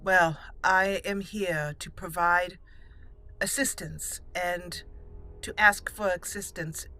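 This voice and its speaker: A woman improvising in character as she plays a fantasy game, speaking haltingly and thoughtfully in formal phrasing. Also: lowish voice, steady tone, bright, forceful tone. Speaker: gaming channel host, roleplaying player. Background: game music.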